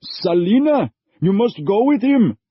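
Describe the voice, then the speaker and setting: A man voicing a frantic father who orders and pleads in a forceful, raised voice. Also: sits high, takes it slowly, husky, no echo, pitched above the performer's own voice, exaggerated voice. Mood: commanding. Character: desperate father of a teenage girl, stern. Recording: dull lo-fi audio.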